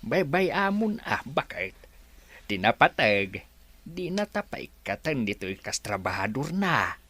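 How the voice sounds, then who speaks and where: Radio play: man as excitable villager, wildly swinging pitch. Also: a little slow, slightly rough, heavily acted. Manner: animated, repeats "ah, bakit?".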